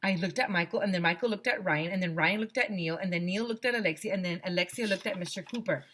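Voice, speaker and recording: A woman teacher reading a children's storybook aloud in an expressive narrating style. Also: fairly low pitch, fast talker.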